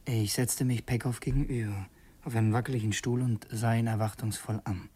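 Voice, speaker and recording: An actor playing an earnest, brooding revolutionary who narrates in an intense, halting way in a radio play. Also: male voice, lowish voice, steady tone, warm tone, husky. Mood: reflective. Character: earnest, brooding male revolutionary narrating his secret errand. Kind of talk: radio play, first-person dramatic narration.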